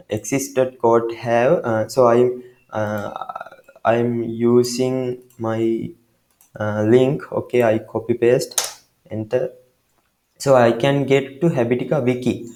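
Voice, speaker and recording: A man from Sri Lanka with a Sri Lankan accent, giving a screen-recorded software tutorial in a halting way, with many fillers and restarts. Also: mid-range voice, fairly even intonation, roomy sound. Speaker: tutorial maker.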